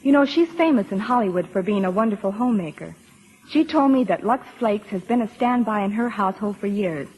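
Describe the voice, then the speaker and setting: A woman's voice: medium pitch, expressive, brisk, darkish tone, breathy edge, poor audio. A woman giving scripted guest chat as an actress on a 1940s radio programme. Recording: old radio broadcast recording.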